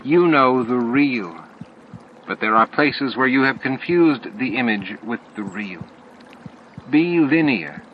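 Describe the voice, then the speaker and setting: A man voicing cryptic alien entities in an audiobook, solemn and insistent, with deliberate, stilted phrasing and pauses between words. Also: medium pitch, animated, lo-fi sound, a little hiss or hum, pitched above the performer's own voice. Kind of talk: audiobook character dialogue.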